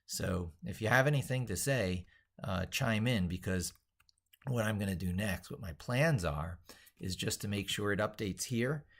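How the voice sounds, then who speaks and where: Low-voiced man thinking aloud on a live stream. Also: expressive, normal speed. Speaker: live streamer and tech educator. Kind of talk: unscripted live-stream tutorial, thinking aloud while working.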